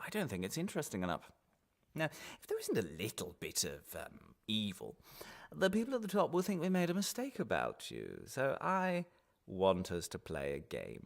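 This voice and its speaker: An actor playing a menacing male official in a radio drama, falsely friendly and halting, sometimes sinking into a whisper. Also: medium pitch, creaky, heavily acted. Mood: coaxing menace. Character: smooth menacing male official interrogating a detainee. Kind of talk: one-man radio drama monologue.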